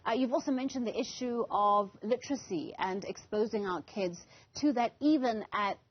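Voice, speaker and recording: A woman with a South African accent asking wordy, measured questions as a news interviewer. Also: fairly high pitch, highs cut off.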